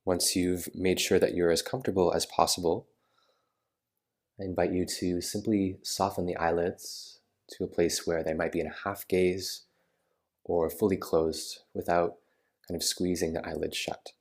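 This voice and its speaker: A man with a low-pitched voice leads a guided relaxation practice. His intonation stays very level and steady. He phrases things soothingly and without hurry, leaving pauses between instructions. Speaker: yoga nidra meditation guide. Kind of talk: guided meditation.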